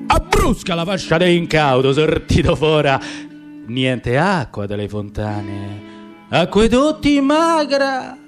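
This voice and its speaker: Male stage reader, fairly high voice, unhurried, lyrical declamation. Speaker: radio theatre performer. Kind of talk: dramatic poetic monologue.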